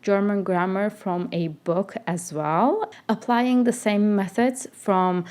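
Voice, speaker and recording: Slow-talking young woman vlogger, not a native English speaker. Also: medium pitch, darkish tone, clear, resonant tone. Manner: unhurried, chatty, with frequent fillers and self-corrections. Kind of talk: casual life-update vlog.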